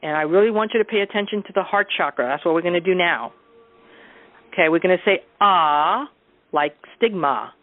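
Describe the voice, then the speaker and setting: A woman's voice: fairly low pitch, expressive, a little fast, dry recording, soft background music. A woman casually leading a group through a guided sound exercise on a teleconference, instructing informally and often checking in with her listeners. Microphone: telephone. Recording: muffled, narrow teleconference phone line.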